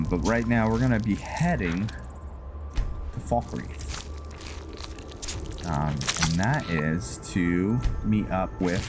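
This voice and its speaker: A man giving casual, rambling game commentary, thinking aloud and often searching for words as he narrates a roleplay playthrough. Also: low voice. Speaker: gaming video host narrating a roleplay playthrough.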